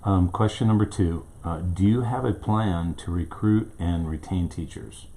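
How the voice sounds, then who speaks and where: A man's voice: low-pitched, a little slow, mellow. A man who owns a small local TV station, asking interview questions in a casual, rambling way with many fillers, from off camera in his small studio. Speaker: local TV station owner and host.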